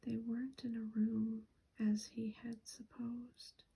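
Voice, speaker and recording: Whispering woman reader, slow, dark, husky, steady tone. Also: on the high side, poor audio. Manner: breathy whisper throughout. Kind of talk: whispered storybook reading.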